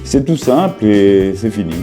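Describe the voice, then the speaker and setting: Low-pitched man, hoarse from a cold, joking chatty tone, guitar music behind. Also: lively intonation, moderate pace, dark tone. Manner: joking, chatty, talks straight to the viewer. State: sick with a cold, sore throat. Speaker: cooking vlogger. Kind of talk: casual cooking video narration. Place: his bedroom.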